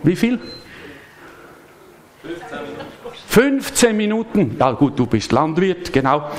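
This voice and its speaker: A man's voice: high-pitched, very animated, unhurried. Man giving a sermon, chatty, questions the congregation, church service. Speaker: pastor.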